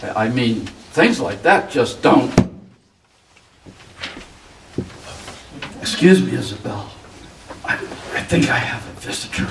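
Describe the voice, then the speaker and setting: An actor playing a nervous, cornered debtor in a crime radio drama, halting and stammering as he talks. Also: mid-range voice, animated, lightly acted. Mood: shaken. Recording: echoey room, distant mic, background noise.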